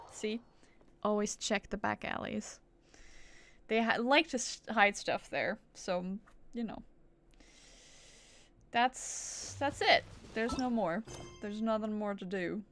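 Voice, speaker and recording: Casting: female game streamer, fairly high voice, playful offhand remarks, unhurried, light music underneath. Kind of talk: casual gameplay commentary.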